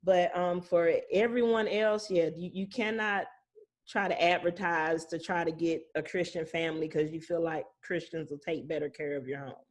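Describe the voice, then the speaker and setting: A woman's voice: fairly low pitch, steady tone, moderate pace. A woman speaking as a property manager, explaining things informally and illustrating points with anecdotes and imagined exchanges.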